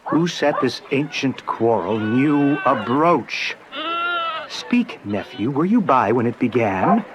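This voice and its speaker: An actor playing a grave, concerned older lord in a classical verse radio drama, formal and declamatory. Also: male voice, medium pitch, lively intonation, slow pace. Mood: grave questioning. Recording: dull, noisy drama recording.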